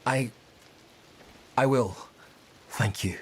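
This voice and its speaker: An actor playing an earnest, troubled young scholar in a video game, solemn and hesitant. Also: male voice, mid-range voice, extremely slow, slight background noise. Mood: pleading. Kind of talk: dramatic video game acting.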